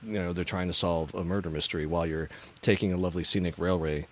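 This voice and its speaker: A man's voice: deep voice, fairly even intonation, fast talker. A man hosting a podcast, talking casually with lots of fillers and hesitation.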